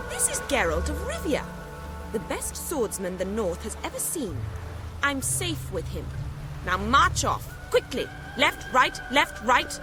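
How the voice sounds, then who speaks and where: A woman playing a spirited, haughty young noblewoman in a video game, well-spoken and formal in her phrasing. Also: on the high side. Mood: imperious. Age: young adult. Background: background music.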